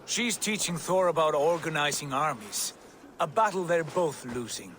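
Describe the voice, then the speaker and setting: Brave peacemaking Norse god, animated, highish voice, reasoning, fantasy game acting. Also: male voice, normal speed, a little hiss or hum. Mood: dry. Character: earnest, steadfast Norse god, brave and conciliatory. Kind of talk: dramatic video game acting.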